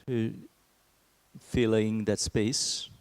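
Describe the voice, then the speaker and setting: A man teaching a guided meditation, speaking in a gentle, measured manner with long pauses between phrases. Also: on the low side, flat delivery, smooth.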